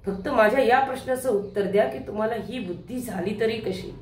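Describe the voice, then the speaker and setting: A woman's voice: on the high side, fairly quick, a little hiss or hum, natural voice. A woman acting on stage as a young daughter who is coy, sarcastic and reproachful. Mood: bitter, confronting. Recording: echoey hall, distant microphone.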